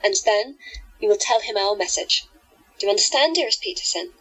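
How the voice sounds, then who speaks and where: A woman playing a sweetly manipulative hypnotist in a radio play, soft and musical, coaxing people to obey. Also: mid-range voice, animated, a little fast, husky, rough recording. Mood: coaxing, commanding. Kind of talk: audio drama acting.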